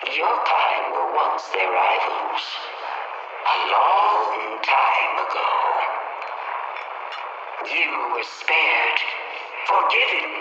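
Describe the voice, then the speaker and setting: A performer voicing a vengeful, ancient alien leader with a grandiose, deliberate, ominous delivery in a video game. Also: medium pitch, huge pitch swings, background noise, full character voice. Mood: solemn, ominous. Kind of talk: scripted game dialogue, slow monologue. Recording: very echoey hall, distant, muffled.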